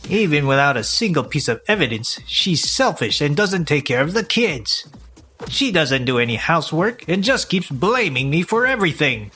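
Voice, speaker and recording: Man acting blustering selfish husband, swooping pitch. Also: big pitch swings, moderate pace, sharp tone, faint music behind. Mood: accusing. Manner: indignant, whiny blustering. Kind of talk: manga dub acting.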